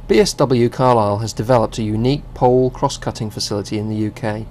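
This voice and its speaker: A man reading a scripted narration for a company video in an even, measured way. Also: lowish voice, a little hiss or hum.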